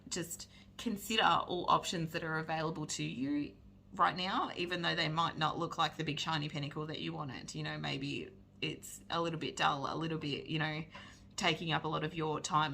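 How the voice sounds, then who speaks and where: A woman's voice: sits low, gravelly, bright, forceful tone, slight background noise. A woman giving a pick-a-card tarot reading while she is losing her voice, talking in a chatty way and often checking in with "right?". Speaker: psychic tarot reader.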